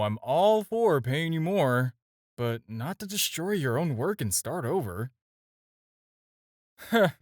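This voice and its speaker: Man acting a smooth supervillain, flirtatious, casual teasing delivery, swooping intonation, close dry sound, audio roleplay. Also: fairly high pitch, no room sound. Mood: amused. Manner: teasing, casual, conversational with hesitations. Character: flirty, smooth, self-assured male supervillain courting his tailor. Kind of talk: scripted audio roleplay addressed to the listener.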